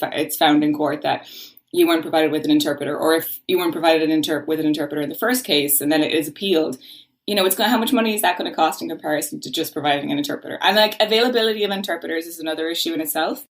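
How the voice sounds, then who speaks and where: A woman's voice: on the low side, a bit bright. An Irish woman, a podcast guest and law researcher, explains at length in an earnest, casual way and often sounds frustrated. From Ireland. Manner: voices frustration. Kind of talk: casual interview talk.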